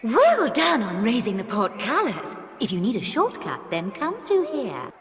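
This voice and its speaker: A woman playing an airy, eerie female helper in a game, with a singsong, drawn-out delivery. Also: fairly high pitch, wildly swooping pitch. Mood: playful, singsong. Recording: echoey hall with music.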